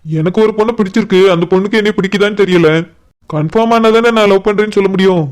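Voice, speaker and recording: A woman as a smooth-voiced polite young man. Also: mid-range voice, quick pace, a little mellow, close to an everyday voice. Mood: coy. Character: polite, soft-mannered young village man, cartoon. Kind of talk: cartoon drama dialogue.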